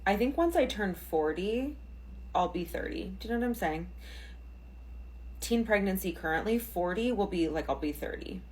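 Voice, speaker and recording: A woman's voice: medium pitch, lively intonation, moderate pace, darkish tone, poor audio. A woman in her thirties rambling jokily to camera. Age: 30s. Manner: rambling, jokey. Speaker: social media poster. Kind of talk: casual talk to camera.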